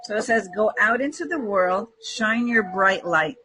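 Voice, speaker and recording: Husky-voiced woman, encouraging spiritual talk. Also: medium pitch, expressive, measured pace, sharp tone, rough recording. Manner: encouraging, affirming. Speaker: spiritual guest co-host giving card readings. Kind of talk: spiritual reading and casual chat.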